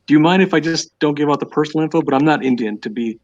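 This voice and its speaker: A man talks quickly and insistently over a muffled, lo-fi call line. He repeats himself as he presses his point in a heated debate. His pitch sits in a middle range. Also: darkish tone. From United States. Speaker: caller. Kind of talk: heated live-stream debate.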